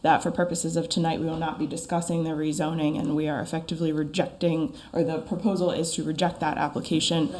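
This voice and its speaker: A woman attorney speaking formally at a town council meeting, her voice froggy and hoarse from a lingering cold, measured and methodical. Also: low voice, level tone, a little mellow. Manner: measured and methodical, with frequent ums. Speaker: attorney for an applicant. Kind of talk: formal public meeting presentation.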